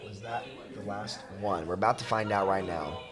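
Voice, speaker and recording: A young man casting a live esports match. His voice is going hoarse, and he gets excited as the action builds. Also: fairly low pitch, moderate pace. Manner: excitable play-by-play, rising with the action. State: losing his voice. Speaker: esports caster. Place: college esports event. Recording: live event crowd behind.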